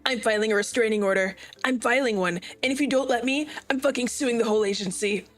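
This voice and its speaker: A woman voicing a fierce young male hero who snarls his lines and swears at every turn. His voice sits a little high, with faint music playing behind. It is a fan fiction read aloud on a live stream. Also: fast talker, natural voice. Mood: furious.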